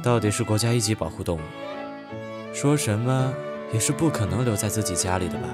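A man acting in an audio drama as an excitable, stammering man who gushes over cute animals. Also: on the low side, a little fast, over loud music, close to an everyday voice. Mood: flustered. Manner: stammering, gushing.

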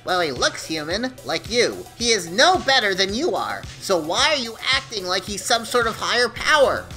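Pushy cartoon demon played by a woman, indignant schemer with a piercing, forceful edge. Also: on the high side, big pitch swings, normal speed, soft background music. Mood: argumentative. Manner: insistent, goading. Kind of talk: animated dark comedy dialogue.

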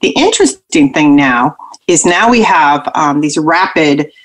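Low-voiced woman doctor explaining symptoms. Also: unhurried, poor audio. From Pacific Northwest, US. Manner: explanatory, even and practical. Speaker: physician guest. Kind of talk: radio health talk.

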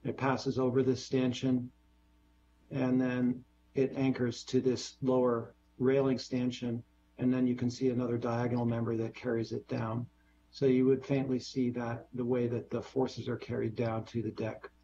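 Male designer, mellow husky voice, unhurried technical walkthrough. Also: mid-range voice, level tone, muffled recording. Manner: measured, explanatory, many fillers. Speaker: bridge designer presenting to a board. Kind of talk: technical presentation on a video call. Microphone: video call.

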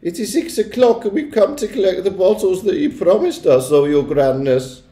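A man acts out an ancient storybook witch, meek and fawning. Her high voice is slow and deferential, heard in a roomy space. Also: lively intonation, mellow. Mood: meek deference. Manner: hesitant, deferential.

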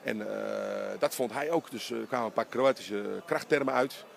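A man's voice: fairly low pitch, vocal fry. A middle-aged man, a basketball coach, talking emphatically in a post-game interview inside an empty arena, close to a handheld mic. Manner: animated, emphatic, talks in quick bursts. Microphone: handheld interviewer mic held close.

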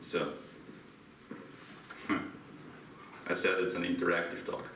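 A middle-aged Austrian man with a German accent presents at a conference, putting questions to a small audience. His low voice has a bright edge. It was picked up from a distance in an echoing room. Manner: asks the audience questions, laughs lightly. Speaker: conference speaker. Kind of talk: interactive conference talk. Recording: echoey room from far off, faint hiss.

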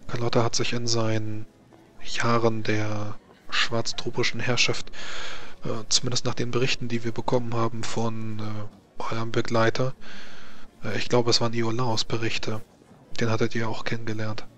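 Man playing a grave, even-toned court mage. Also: medium pitch, natural voice. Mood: grave, worried. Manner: deliberate, halting, formal explanations. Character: grave, worried male court mage, formal advisor. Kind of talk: tabletop roleplay in character.

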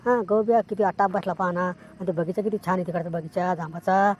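A woman's voice: fairly low pitch, steady tone, fast, a little mellow, natural voice. A woman voicing a coaxing, nosy village man in an amateur cartoon comedy, insistent and repetitive, with a rural Maharashtrian accent. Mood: admiring, chatty. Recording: noticeable background noise.

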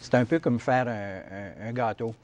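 Middle-aged male contractor, Canadian French accent, halting explanatory delivery, TV studio interview. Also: mid-range voice, a little mellow. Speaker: heating contractor interviewed as guest. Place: TV studio set, seated on sofa.

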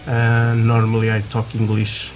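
A man from Portugal with a Portuguese accent, chatting haltingly through a hobby tutorial with many fillers, while building at a workbench. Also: fairly low pitch, monotone, darkish tone, soft background music, low-quality recording. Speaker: scale model builder. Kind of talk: casual hobby tutorial talk. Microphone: camera over work desk, hands only.

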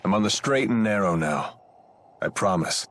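An actor playing a roguish, cocky mercenary in a video game dub, with a casual, wry way of talking. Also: male voice, fairly low pitch, animated, moderate pace, a bit bright. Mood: earnest, resolute. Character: roguish, cocky mercenary man with easy swagger.

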